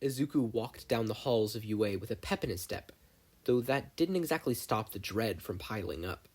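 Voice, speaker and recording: A podfic narrator reading scripted fiction aloud in an expressive storytelling style. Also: medium pitch, normal speed.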